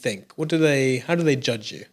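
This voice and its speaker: Quick-talking male podcast host. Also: medium pitch, expressive. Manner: chatty, jokey. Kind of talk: casual interview chat.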